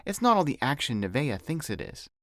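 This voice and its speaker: A man's voice: mid-range voice, big pitch swings, a little fast, a bit bright, dry recording, clean studio sound. A man voicing a kind, thoughtful twelve-year-old boy in an audiobook, talking casually and a little hesitantly. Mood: casual, matter-of-fact.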